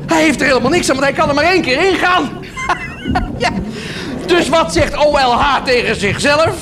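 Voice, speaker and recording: An actor playing a wheedling monk who hawks indulgences with theatrical, cajoling patter in a radio drama. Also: male voice, extremely high pitch, normal speed, crowd noise, noisy background. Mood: jovial, cajoling. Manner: theatrical, cajoling sales patter, punctuated with laughs. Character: wheedling monk huckster selling indulgences to peasants.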